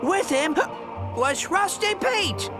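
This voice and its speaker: Cowardly robot rogue, high voice, sly, theatrical, game acting, music behind. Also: male voice, lively intonation, takes it slowly, full character voice. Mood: boastful. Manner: theatrical, sly, mock-friendly. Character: scheming, boastful, cowardly robot pirate rogue. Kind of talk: animated video game character acting.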